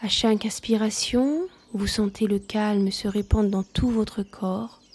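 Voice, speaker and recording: A woman leading a guided yoga nidra relaxation, speaking softly in a calm, soothing manner. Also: mid-range voice, a little slow, slightly rough.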